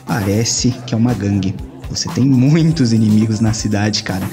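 A man's voice: on the low side, moderate pace, natural voice. A man acting in an audio drama as a jaded, slangy hacker loner in a cyberpunk city. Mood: cool, urgent. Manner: brooding, slangy. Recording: music behind the voice.

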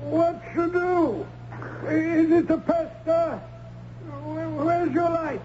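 A performer playing a fearful, irritable prison jailer in an old-time radio drama. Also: female voice, very high voice, steady tone, takes it slowly, dark tone. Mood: nervous, irritable. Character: gruff, fearful prison jailer in colonial times. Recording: muffled old radio recording with hiss.

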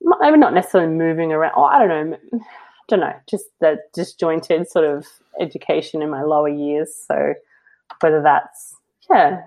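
A woman's voice: deep voice, moderate pace, gravelly, rough recording. A woman, talking openly and reflectively as a guest, with many fillers. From New Zealand. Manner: open, rambling, self-reflective with frequent fillers and soft laughs. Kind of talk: casual conversational interview.

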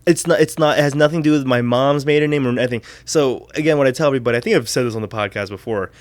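A young adult man hosting a podcast, chatting casually with a joking, deadpan teasing manner. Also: mid-range voice, rapid-fire, no echo. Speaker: podcast host.

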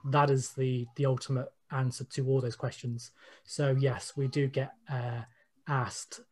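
A man's voice: medium pitch, level tone, measured pace, darkish tone. A British man giving personal testimony in an informal discussion, opinionated and repeating phrases for emphasis. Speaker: interviewee, panel participant.

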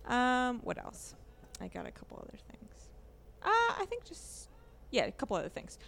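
A woman's voice: on the high side, wildly swooping pitch, normal speed, creaky, fairly bright tone, slight background noise. A woman co-hosting a podcast, casually discussing a video game in an informal, hesitant way as she thinks aloud. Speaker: podcast co-host.

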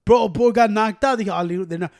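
A man chatting as a podcast co-host, fast and profane, switching between Spanish and English. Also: sits high, no room sound, clean studio sound. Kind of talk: casual chat.

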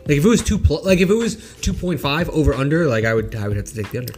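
A man's voice: a little high, big pitch swings, very fast, a little mellow, soft background music. Laid-back man in his late thirties. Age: late 30s. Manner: laid-back, rambling, filler-heavy. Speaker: podcast co-host. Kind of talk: casual podcast chat.

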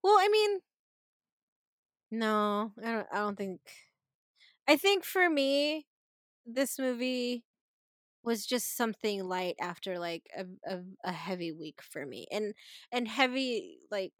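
Animated woman in a dry room. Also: on the high side, takes it slowly, pauses often, dry recording. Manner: animated, laughs often, restarts phrases. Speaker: podcast co-host. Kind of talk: casual movie review chat.

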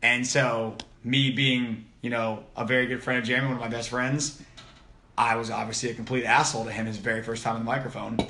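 A man co-hosting a casual podcast chat, outgoing and loud, teasing his friend as he talks. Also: medium pitch, little pitch movement, a little fast, a little hiss or hum. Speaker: podcast co-host. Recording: roomy echo.

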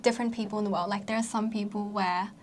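A woman's voice: medium pitch, fairly even intonation, fast, slight background noise. A young adult woman hosting a podcast in casual chat, talkative and giggly, with many "like" fillers. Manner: chatty, giggly, lots of "like" fillers. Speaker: podcast host.

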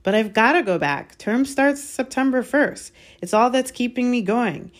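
A woman voicing an earnest, anxious boy wizard of about twelve in a storybook, speaking in a hurried, halting way. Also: a little high, animated, pitched above the performer's own voice, natural voice. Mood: dismayed. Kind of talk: dramatised storybook reading.

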